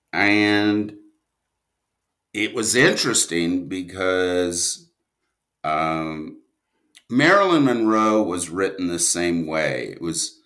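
A man's voice: low-pitched, a bit bright. A man speaking as a guest writer in a reflective interview, stopping and starting and leaning on certain words. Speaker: guest writer and producer of audio drama.

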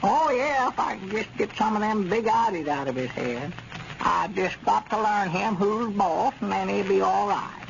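High, creaky country storekeeper. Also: male voice, lively intonation, normal speed. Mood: folksy, self-justifying. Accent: rural Southern US. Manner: folksy, drawling, dialect grammar. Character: bossy, folksy older country storekeeper, male. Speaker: radio comedy actor. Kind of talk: 1930s radio comedy acting. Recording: scratchy, muffled 1930s radio recording.

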